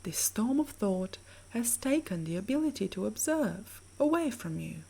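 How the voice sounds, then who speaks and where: A woman with a soft, breathy voice narrating a scripted guided sleep meditation in a soothing, measured, gently instructing way. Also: medium pitch, sing-song.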